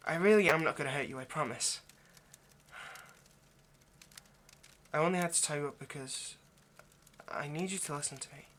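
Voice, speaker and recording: A man's voice: fairly high pitch, fast talker, a bit bright, natural voice. A man playing a nervous, centuries-old vampire kidnapper in an intimate ASMR roleplay, hesitant, stammering and apologetic as he speaks to the listener. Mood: anxious apologetic. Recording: faint background noise.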